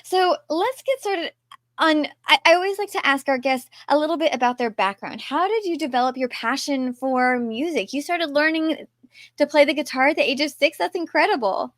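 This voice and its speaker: A woman's voice: high-pitched, normal speed, a bit bright, dry recording, clean studio sound. A woman with a Texas accent hosting a podcast, reading a scripted intro and then asking questions. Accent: Texan. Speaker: podcast host. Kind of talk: podcast interview.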